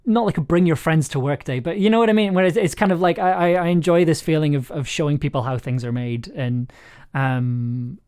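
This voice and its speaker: A man's voice: on the high side, a little fast, hardly any pauses, a little mellow, clean tone. Enthusiastic, rambling man hosting a livestream. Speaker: host, audio drama writer and producer. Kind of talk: casual livestream chat. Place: remote online call on a livestream.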